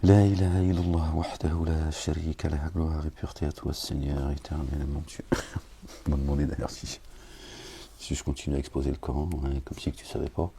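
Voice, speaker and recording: A man who talks casually with wry, grumbling asides to his listeners, then reads scripture slowly and solemnly. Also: a bass voice, little pitch movement, warm tone, slight background noise. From France. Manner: slow, measured recitation with Arabic invocations. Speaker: preacher reading scripture.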